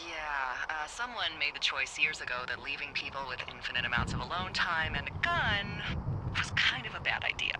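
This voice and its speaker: Wry, easygoing American woman lookout supervisor, low-voiced, naturalistic game dialogue over noisy walkie-talkie. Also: lively intonation, normal speed. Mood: concerned. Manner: dry, teasing, conversational. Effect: walkie-talkie radio. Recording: noisy radio with ambience.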